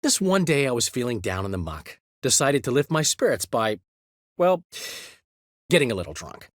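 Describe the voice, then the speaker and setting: An actor playing a vain, witty middle-aged bard in a video game, speaking theatrically with playful asides. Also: male voice, medium pitch, very animated, normal speed, dry recording, studio quality. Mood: chatty. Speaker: game character and epilogue narrator.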